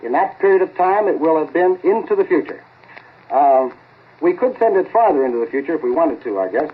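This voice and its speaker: An actor playing an excitable, halting American amateur inventor in a 1940s radio drama, plain-spoken and easily amazed. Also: male voice, fairly high pitch, slightly rough. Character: excitable male amateur time-machine experimenter, halting and folksy. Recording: narrow-band vintage 1940s radio recording.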